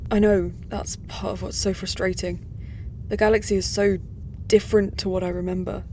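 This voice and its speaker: A woman's voice: mid-range voice, little pitch movement, normal speed, warm tone, a little hiss or hum, lightly acted. A woman playing an earnest, displaced human space traveller in a science-fiction audio drama. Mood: troubled, frustrated. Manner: earnest, confiding.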